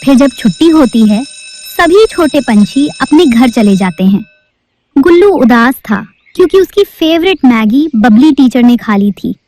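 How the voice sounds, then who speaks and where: A woman's voice: sits high, expressive, measured pace, a little mellow. A woman narrating a cartoon story in a storybook-telling manner.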